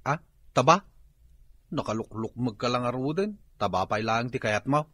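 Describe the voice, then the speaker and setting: An actor playing a boastful but easily cowed husband with a raspy voice and an Ilocano accent in a comedy radio drama. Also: male voice, mid-range voice, animated, normal speed, fairly bright tone, no echo. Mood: flustered, muttering. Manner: blustering, stammering exclamations.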